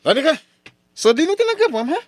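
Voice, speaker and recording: An actor playing a domineering, cruel, jealous husband with money, in old radio drama style. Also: male voice, very high voice, sing-song, fast, music in the background. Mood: suspicious, angry. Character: domineering rich suitor turned cruel, jealous gambler husband.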